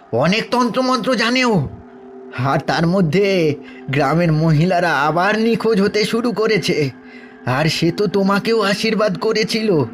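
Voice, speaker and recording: Man playing an old villager, high-pitched voice, agitated and grieving, halting insistent warnings, horror radio play, music underneath. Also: a bit bright. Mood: urgent warning. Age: older. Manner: halting, insistent, repeats phrases for emphasis. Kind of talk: Bengali audio horror drama acting.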